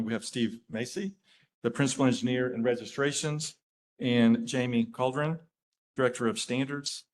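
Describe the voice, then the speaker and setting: A man stands in as session moderator, handing over to the next speakers with short, formal introductions. His voice sits at an ordinary middle pitch, and he slips in a few hesitant fillers as he goes.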